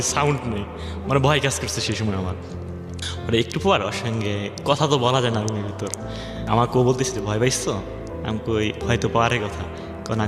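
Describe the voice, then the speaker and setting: Over a clear music bed, a man with a Bangladeshi accent recounts his own life in colloquial, dialect-tinged speech. His medium-pitched voice is washed in long, hall-like reverb. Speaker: guest telling his life story. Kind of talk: informal personal storytelling.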